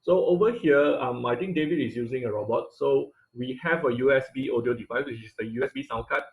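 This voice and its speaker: A man's voice: mid-range voice, a little fast, narrow, tinny audio. A man teaching an online robotics class over a video call, explaining step by step with many fillers and restarts. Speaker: instructor. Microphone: computer mic on video call.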